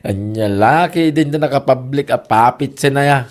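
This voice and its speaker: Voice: medium pitch, very animated, takes it slowly. Gossipy Ilocano older sibling acted out, widely swinging intonation, teasing, exclamatory, chatty, radio comedy drama. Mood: amused. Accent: Filipino, Ilocano.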